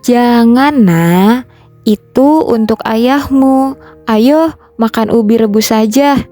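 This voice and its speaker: A woman voicing a gentle, anxious mother with a soothing, pleading manner in a children's folktale. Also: fairly high pitch, slow, soft background music. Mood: coaxing.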